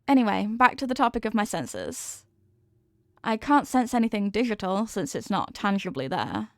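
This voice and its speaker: A woman's voice: medium pitch, sing-song, normal speed, no room sound, studio quality. A woman playing a sweet, clumsy, centuries-old headless horsewoman girlfriend in an affectionate, sheepish, rambling way for an intimate ASMR roleplay. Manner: soft-hearted, sheepish, affectionate, rambling explanations.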